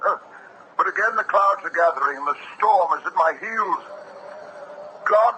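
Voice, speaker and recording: An actor playing a weary, agitated cursed New England traveler in 1970s radio drama, speaking haltingly in formal old-fashioned language. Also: male voice, high-pitched, pushed and bright. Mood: anguished. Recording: noisy vintage radio broadcast.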